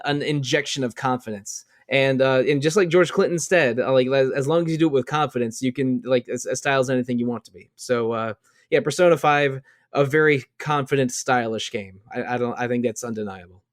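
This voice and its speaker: Halting, enthusiastic man on a podcast. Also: medium pitch. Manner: halting, restarts and repeats words often. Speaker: podcast panelist. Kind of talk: casual podcast chat about game music.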